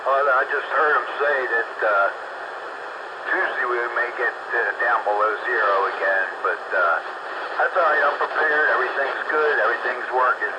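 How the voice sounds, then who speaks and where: Halting man under loud hiss. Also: medium pitch. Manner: halting, repeats words, homely. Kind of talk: casual radio chat.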